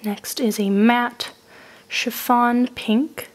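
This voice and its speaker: A woman narrates a makeup review while still getting over a cold. She works through each shade in a steady, methodical way. Her tone is descriptive and even. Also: on the high side, extremely slow. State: recovering from a cold. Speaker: beauty reviewer.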